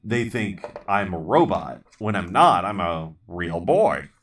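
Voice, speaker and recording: A man hosting his own channel, talking in a halting, casual way with lots of ums and restarts and a dry, self-deprecating humor. People tell him his voice suits voiceover work. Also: low voice, expressive, fairly bright tone, recorded from a distance, a little hiss or hum. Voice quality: voice others call good for voiceover. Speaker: channel host. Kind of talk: casual rambling chat while building.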